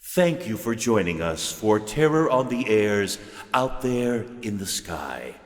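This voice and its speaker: A man's voice: fairly low pitch, big pitch swings, slow pace. A man hosting a horror anthology show, delivering a theatrical scripted sign-off. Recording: echoey, long cavernous reverb.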